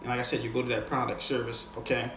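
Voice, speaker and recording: A man's voice: medium pitch, level tone, quick pace. Guy giving casual sales coaching off the top of his head, slangy, echoey room, bit of noise. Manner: conversational, slangy, often checks in with the listener. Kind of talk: casual, unscripted instructional talk.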